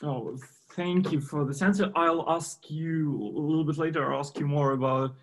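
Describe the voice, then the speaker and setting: A man interviewing a novelist, his speech halting as he restarts words and searches for phrasing. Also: on the high side, steady tone. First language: Ukrainian. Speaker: interviewer at a book forum. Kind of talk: interview questions.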